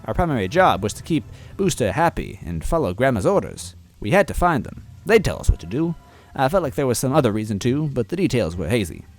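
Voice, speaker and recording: A man narrating a fan-fiction story aloud in a playful, animated way. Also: medium pitch, brisk, faint music behind.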